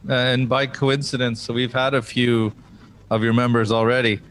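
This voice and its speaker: A man's voice: medium pitch, level tone, normal speed, fairly bright tone, slight background noise. A man hosting a podcast in a casual, conversational interview style. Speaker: podcast host.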